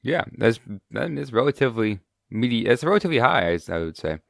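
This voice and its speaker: A man's voice: mid-range voice, very animated, brisk, gravelly, a bit bright, dry recording, studio quality. A man co-hosting a podcast in casual chat while sick with a cold, speaking loosely with many fillers. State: sick with a cold, voice deeper than usual. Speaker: podcast co-host.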